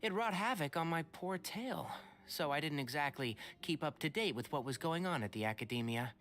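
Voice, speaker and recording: A man's voice: a little high, expressive, normal speed, a bit bright, soft background music. A man playing a wry, sensible young forest scholar in a video game, articulate and dryly complaining.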